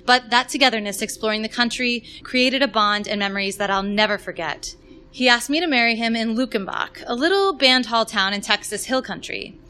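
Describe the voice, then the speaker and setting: Female narrator, bright tone, polished expressive delivery of a written first-person memoir. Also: medium pitch. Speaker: musical theatre performer. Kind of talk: scripted personal story read aloud.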